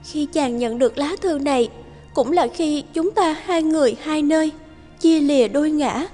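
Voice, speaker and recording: A gentle young fairy wife from a cartoon folktale, played by a woman, has a markedly high voice. Her speech is tender and formal, over faint music. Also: moderate pace, lightly acted. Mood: sorrowful. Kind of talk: animated folktale voice acting.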